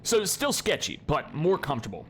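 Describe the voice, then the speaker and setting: A man's voice: a little high, brisk. Congested young guy rambling thru his game replays, lots of ums, faint game noise underneath. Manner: rambling, many fillers and restarts, relaxed swearing. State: congested, sniffling. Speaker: gaming youtuber. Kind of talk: casual commentary over replays.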